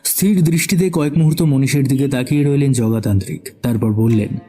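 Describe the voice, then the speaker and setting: Husky, mellow male horror narrator. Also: medium pitch, fairly quick. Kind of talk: dramatic scripted horror story narration. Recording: background music under the voice.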